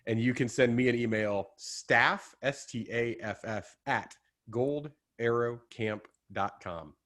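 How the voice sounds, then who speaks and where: A man's voice: mid-range voice, takes it slowly, fairly bright tone. Sincere, thoughtful male guest. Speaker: camp director, guest. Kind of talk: interview answer.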